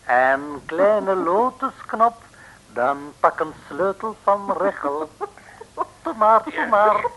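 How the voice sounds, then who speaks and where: An actor playing a sly, cringingly polite foreign villain in an old radio serial, talking in broken, formal phrases. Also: male voice, high-pitched, slow pace, full character voice. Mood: sly, flattering. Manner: broken grammar, self-abasing flattery, formal address. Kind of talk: 1960s radio serial acting. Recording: noisy old radio recording.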